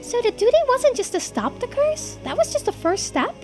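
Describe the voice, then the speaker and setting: A woman voicing an excitable, inquisitive young islander in video game dialogue, eager and full of questions. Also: very high voice, moderate pace, faint music behind. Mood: astonished, questioning.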